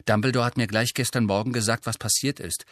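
A male narrator voicing a brisk, sensible, bossy teenage schoolgirl with a lecturing manner in an audiobook. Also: mid-range voice, fairly even intonation, fairly bright tone, dry recording, studio quality, natural voice. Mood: brisk, cheerful.

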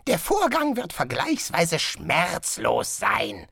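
A man voicing a calm, polite guest master who calmly announces dreadful things, in an audiobook. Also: fairly high pitch, gravelly, husky, dry recording, clean studio sound, pitched above the performer's own voice, exaggerated voice. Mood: smoothly menacing. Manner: courteous, unhurried, casually sinister. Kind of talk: audiobook character voice.

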